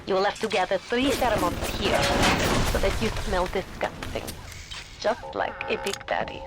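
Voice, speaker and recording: A disdainful German-accented woman scientist, played by an actress, gives clipped, clinical instructions in a video game. Her pitch is average for a woman, her manner stern and faintly disgusted. Loud music runs underneath. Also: expressive, normal speed, natural voice. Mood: brusque, instructing. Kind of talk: video game character acting.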